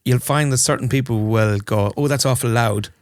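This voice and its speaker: A man's voice: mid-range voice, normal speed, dry recording, studio quality. An Irish man, a wedding band musician, chatting casually and colloquially as an interview guest, often acting out bits of imagined conversation. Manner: colloquial, acts out imagined exchanges with clients. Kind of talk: casual chat.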